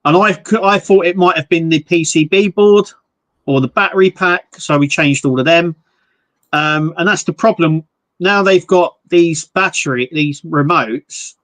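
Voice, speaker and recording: A man co-hosting a live stream, chatting in a relaxed, jokey way about model building. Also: on the high side, unhurried. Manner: relaxed, jokey, explains hobby builds with restarts. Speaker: livestream co-host, model builder. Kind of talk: casual chat.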